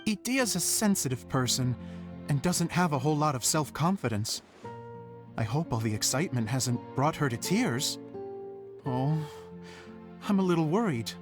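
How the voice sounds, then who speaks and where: Animated, earnest young theater director. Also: male voice, a little high, moderate pace, pauses often. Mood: worried. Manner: earnest, open, expressive. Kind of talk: video game voice acting. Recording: music behind the voice.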